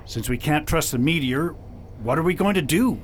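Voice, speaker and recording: A man playing a hot-tempered, impatient patrol officer in a science fiction radio drama, gruff and exclaiming. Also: on the high side, lively intonation, normal speed, a little hiss or hum, lightly acted. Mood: irritated, blustering.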